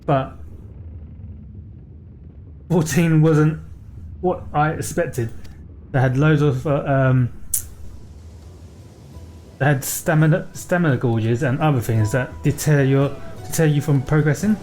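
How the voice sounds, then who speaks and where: A man hosting a let's play, chatting casually in a halting, unscripted way. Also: a little high, warm tone, slight background noise. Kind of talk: casual gameplay commentary.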